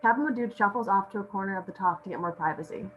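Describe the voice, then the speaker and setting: A woman with a mid-pitched voice reads out stage directions as narration for a script read-through. The recording is dull and lo-fi, with a little noise behind her. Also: very fast. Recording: muffled, noisy lo-fi recording.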